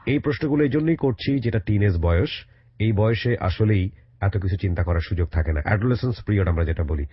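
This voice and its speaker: A man with a Bangladeshi accent and a husky voice, interviewing a guest as the host of a life-story radio show. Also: fairly low pitch, no room sound, muffled recording. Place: radio studio.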